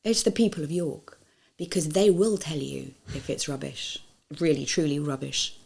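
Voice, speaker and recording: A pantomime actress telling lively stage anecdotes in an interview, teasing and playful. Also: female voice, low voice, moderate pace, gravelly. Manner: lively, teasing, laughs through stories.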